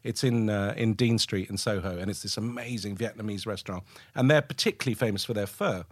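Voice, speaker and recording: A man's voice: on the low side, fast, dry recording, studio quality. A man who grew up in Lebanon, hosting a casual chat, talking in an enthusiastic, rambling way with many restarts. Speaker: podcast host.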